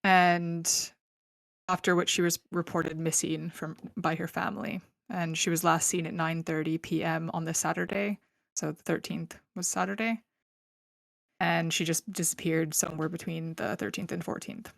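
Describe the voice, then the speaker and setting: Gravelly low-voiced chatty woman podcaster. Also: fairly even intonation, normal speed, dry recording, studio quality. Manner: chatty, laughing, filler-heavy. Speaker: podcast host. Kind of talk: casual true crime storytelling.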